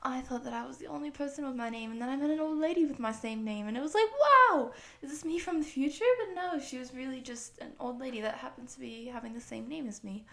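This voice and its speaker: A woman voicing a friendly, excitable eighteen-year-old wolf girl who rambles from one thing to the next in an audio roleplay for the listener. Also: high-pitched, fast, creaky, hardly any pauses. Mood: bubbly, chatty. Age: young adult.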